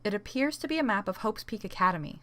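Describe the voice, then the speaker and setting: A woman voicing a calm, secretive teenage girl investigator, matter-of-fact, in an anime game fan dub. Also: medium pitch, fast talker. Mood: cool, detached.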